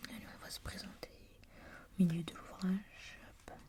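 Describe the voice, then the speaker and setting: A woman whispering as she reads aloud from a language reference book, softly musing and adding her own comments. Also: fairly low pitch, little pitch movement. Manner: whispering throughout; soft and musing, pausing to comment. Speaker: reader.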